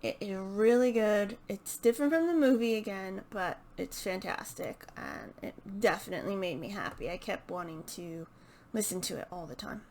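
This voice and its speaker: A woman chatting casually and unscripted as a book vlogger, hesitant and halting as she thinks aloud. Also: medium pitch, expressive, darkish tone. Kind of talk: casual unscripted chat to camera.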